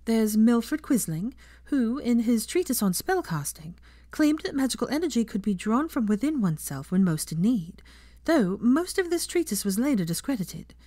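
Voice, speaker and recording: A woman voicing a young female teacher who lectures a class in a brisk, earnest, encouraging way with projected questions. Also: fairly high pitch, very animated, dark tone, dry recording, clean studio sound, voice pushed up. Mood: brisk, instructive.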